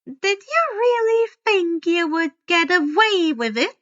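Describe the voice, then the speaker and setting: A woman's voice: very high-pitched, big pitch swings, slow pace, fairly bright tone, dull audio, pitched above the performer's own voice, exaggerated voice. A woman voicing a menacing old woman teacher who is secretly a monster, in audiobook style, with sugary cooing and snarling sneers. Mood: menacing, accusing. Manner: cooing false sweetness turning to snarling sneer.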